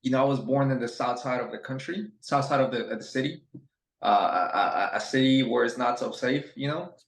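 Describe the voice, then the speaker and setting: A man's voice: mid-range voice, fairly quick, creaky, reverberant. A young adult man from Ecuador, a guest chatting casually and rambling with plenty of fillers and restarts. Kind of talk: casual interview chat.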